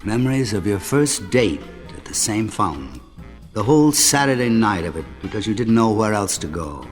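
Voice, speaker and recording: An actor playing a wistful, nostalgic narrator in an old-time radio drama. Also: male voice, fairly low pitch, sing-song, moderate pace, soft background music.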